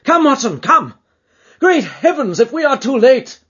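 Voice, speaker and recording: A man's voice: a little high, sing-song, normal speed, breathy edge, lo-fi sound, voice pushed up. A man voicing a keen, commanding detective who speaks in formal Victorian phrases, emphatic and urgent, in an audiobook. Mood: frantic urgency. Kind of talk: dramatised audiobook character dialogue.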